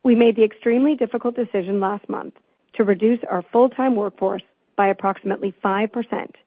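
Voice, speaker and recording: A woman company finance executive reading a scripted earnings call presentation in a formal, measured manner. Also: mid-range voice, dry recording. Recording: tinny phone-like conference call line.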